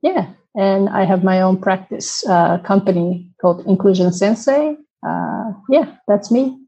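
A woman from Japan whose first language is Japanese, answering interview questions in a hesitant way with many pauses and restarts. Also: medium pitch, warm tone, poor audio. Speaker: podcast guest.

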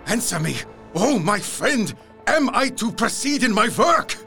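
Older male professor and vampire hunter: solemn, fatherly, high-ish creaky voice with wide swings, gothic drama. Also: very animated, unhurried, sharp tone, faint music behind, full character voice. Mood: urgent, solemn.

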